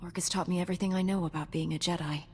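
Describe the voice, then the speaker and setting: An actress playing a composed, resolute young Jedi knight in a video game. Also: female voice, fairly low pitch, fairly even intonation, very fast, slight background noise, close to an everyday voice. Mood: reflective. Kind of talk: video game cutscene acting.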